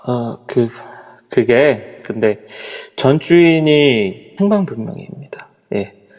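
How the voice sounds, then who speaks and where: A nervous middle-aged hotel executive, as a man plays him in an audio drama, speaking politely and hesitantly in a mellow, dark voice. His words trail off when he turns uneasy. A long reverb from the voice chat room hangs behind him. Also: medium pitch, animated, takes it slowly. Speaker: voice actor in a live amateur audio drama.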